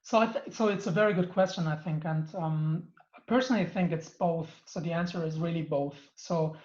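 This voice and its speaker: A man's voice: a little high, fairly quick, roomy sound, highs cut off. A man, answering interview questions with many ums and restarts. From Germany. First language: Turkish and German. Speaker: venture capital partner, guest.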